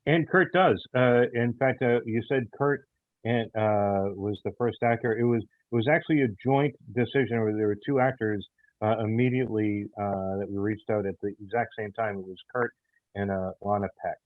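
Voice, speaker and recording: A low-pitched man speaks conversationally as an interviewed show creator, halting and doubling back on his words. The sound is thin and phone-like, with very little room around it. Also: no room sound. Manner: halting, repeats words and phrases. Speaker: audio theater show creator and writer being interviewed.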